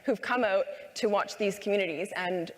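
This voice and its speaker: A woman's voice: mid-range voice, moderate pace. Woman wrapping up on a panel, earnest, repeats herself a bit, echoey big hall. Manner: earnest, repeats words as she goes. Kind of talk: conference panel closing remarks. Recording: long reverb of a large hall.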